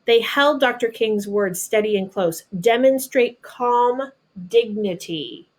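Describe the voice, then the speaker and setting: A woman reads a children's picture book aloud, deliberately, adding simple explanations in her own words as if talking to children. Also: a little high. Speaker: storybook reader.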